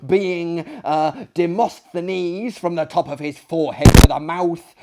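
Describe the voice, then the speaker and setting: Guy doing a boisterous dinner guest, high declamatory voice, storybook gossip about oddballs. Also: darkish tone, heavily acted. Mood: pompous relish.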